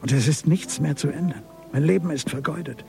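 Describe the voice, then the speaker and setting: A man's voice: a little high, brisk, gravelly, mellow. An actor playing an embittered middle-aged father, grave and brooding, in a radio crime drama. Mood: despairing, brooding. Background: music.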